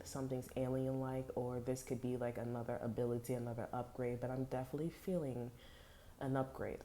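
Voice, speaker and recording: Very low-voiced woman, soft and rambling. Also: a little hiss or hum. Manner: rambling, many fillers and restarts, soft and low. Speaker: tarot reader. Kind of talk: casual spiritual talk to viewers. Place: home at night. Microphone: headphones with mic.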